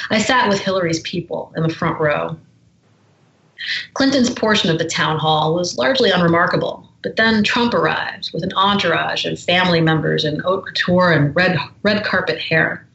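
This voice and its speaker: A woman's voice: on the low side, vocal fry, fairly bright tone, echoey room, dull audio, a little hiss or hum. A woman guest who is an author, speaking in a forthright, indignant way and reading from her memoir. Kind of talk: reading aloud from her memoir, then conversation.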